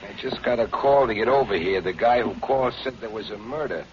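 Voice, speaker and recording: A man's voice: mid-range voice, a little fast, close to an everyday voice. A man playing a commanding, suspicious American police detective in an old-time radio crime drama, clipped and authoritative. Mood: firm, suspicious. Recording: muffled, noisy old radio recording.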